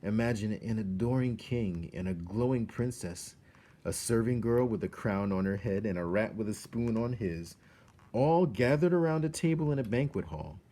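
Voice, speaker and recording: A man reading a children's novel aloud as an expressive storyteller, speaking earnestly and directly to the listener with dramatic pauses. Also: on the low side.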